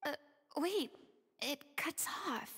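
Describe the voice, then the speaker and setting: A woman voicing a composed, thoughtful young female investigator in a video game, speaking in a measured, articulate way. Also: high voice, expressive, a bit bright, full character voice. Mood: solemn, curious.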